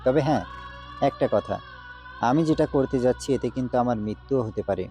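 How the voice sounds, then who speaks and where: A man's voice: mid-range voice, normal speed, close to an everyday voice. A man voicing a grave demon hunter. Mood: earnest. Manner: earnest, solemn and steady. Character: resolute male demon hunter, grave and duty-bound. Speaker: voice actor. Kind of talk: Bengali audio drama acting. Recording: faint background music.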